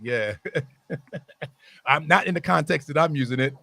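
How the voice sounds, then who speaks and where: Gravelly, joking young man. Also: fairly high pitch, a little slow, no room sound. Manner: joking, teasing, loud animated asides. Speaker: co-host. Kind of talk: casual chat.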